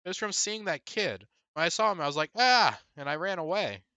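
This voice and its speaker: A man's voice: high-pitched, brisk, smooth, dry recording, lo-fi sound, voice pushed up, exaggerated voice. A man voicing a small, stubborn, whiny second-grade boy in a storybook reading. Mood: excited, spooked. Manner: whining, excitable.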